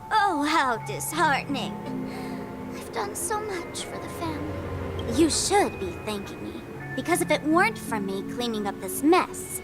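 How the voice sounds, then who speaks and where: An actress playing a mischievous, taunting young female trickster in a video game, with a teasing, theatrical delivery. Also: high voice. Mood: mock hurt, scornful, then smug. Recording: loud music under the voice. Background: loud game music.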